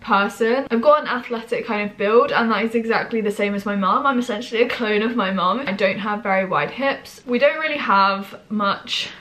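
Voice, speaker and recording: A woman in her twenties from Britain, croaky and hoarse from a cold, chatting to camera in a rambling, casual way. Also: medium pitch, moderate pace, hardly any pauses, a bit bright. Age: 20s. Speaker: vlogger.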